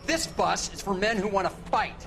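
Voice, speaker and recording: A man's voice: high voice, expressive, moderate pace, gravelly, fairly bright tone, soft background music, exaggerated voice. A man playing an aggressive, sarcastic, foul-mouthed American Marine sergeant in a video game. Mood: scornful. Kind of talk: video game cutscene acting.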